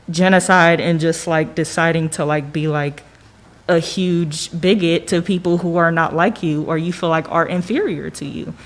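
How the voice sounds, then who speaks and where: Young female podcast panelist, slightly low voice, joking and loose, conversational delivery. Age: young adult. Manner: animated, joking, frequent "like" and "you know". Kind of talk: casual group discussion.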